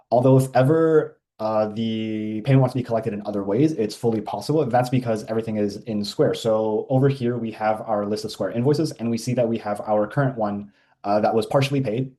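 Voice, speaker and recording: Guy with a deep warm voice doing a laid back software walkthrough, lots of uhs. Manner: casual, many ums and uhs. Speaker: software demo presenter. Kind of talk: screen-share software walkthrough.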